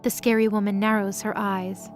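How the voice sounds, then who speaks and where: A woman's voice: mid-range voice, little pitch movement, moderate pace, faint music behind. A woman reading scripted narration for an audio drama story.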